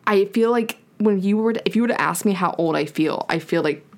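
A woman's voice: mid-range voice, fairly quick. A woman turning thirty, co-hosting a podcast in casual, reflective chat that rambles with lots of "like". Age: 30s. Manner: reflective, rambling with many likes. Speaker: podcast co-host.

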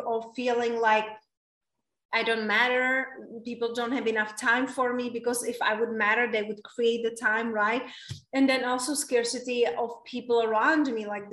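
A woman's voice: on the high side, a bit bright, reverberant, recorded from a distance. A woman in her thirties with a Czech accent, a podcast guest telling her life story candidly and earnestly, often adding "like" and "right?". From Czech Republic.

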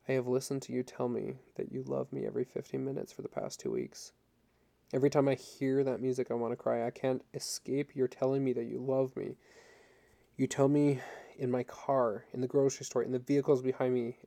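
A man's voice: mid-range voice, creaky, mellow, lightly acted. A man reading as a pleading, lovesick woman. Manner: small, childlike, pleading. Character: tearful, pleading woman hopelessly in love. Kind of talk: dramatic audiobook character reading.

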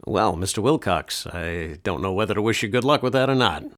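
An actor playing a brisk but tactful, even-tempered police detective in a detective radio drama. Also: male voice, on the low side. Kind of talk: old-time detective radio drama acting.

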